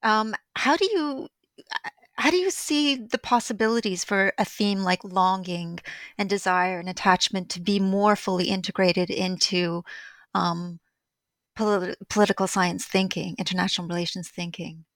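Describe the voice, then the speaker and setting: Woman interviewer, measured and scholarly, mid-pitched, dry close sound. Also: fairly bright tone, dry recording. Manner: measured, long carefully framed questions.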